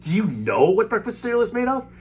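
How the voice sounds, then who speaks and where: A man's voice: high-pitched, big pitch swings, quick pace, slight background noise, pitched above the performer's own voice. A man voicing an eccentric, theatrical candy maker. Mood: indignant.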